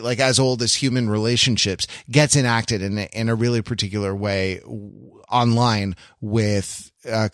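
A man's voice: lowish voice, no echo. Man thinking aloud, dry close sound. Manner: halting, thinking aloud, trailing qualifications. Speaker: podcast panelist. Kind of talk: casual analytical podcast discussion.

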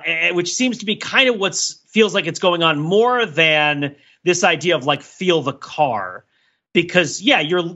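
A man's voice: fairly high pitch, bright, forceful tone, highs cut off. A man co-hosting a podcast in casual, rambling discussion, often tossing in "like" and "right.". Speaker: podcast co-host.

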